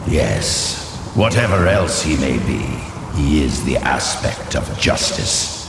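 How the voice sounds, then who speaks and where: A man voicing an ancient, scheming sorcerer who speaks slowly and condescendingly with a deep, gravelly voice. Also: sing-song, background noise, full character voice. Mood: persuasive. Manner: slow, measured, condescending. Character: ancient scheming male Horadric sorcerer, silky and proud. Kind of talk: video game cutscene dialogue. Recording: roomy game mix with music.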